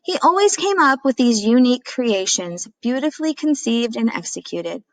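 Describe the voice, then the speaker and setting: A woman's voice: a little high, expressive, normal speed, no echo, pitched above the performer's own voice, lightly acted, poor audio. A woman voicing a chatty, self-mocking dad who tells a childhood story dramatically in a storybook read-aloud. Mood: eager, boastful.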